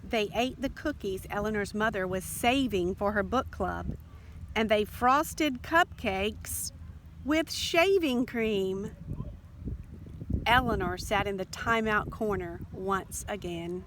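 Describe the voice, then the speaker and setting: A woman reading a children's storybook aloud in an expressive, deliberate narration. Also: medium pitch. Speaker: storybook reader. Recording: faint background noise.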